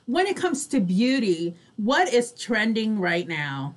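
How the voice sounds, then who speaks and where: A woman's voice: mid-range voice, big pitch swings, slow pace. A chatty, emphatic woman co-hosting a casual online talk show, readily agreeing as she talks. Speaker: co-host.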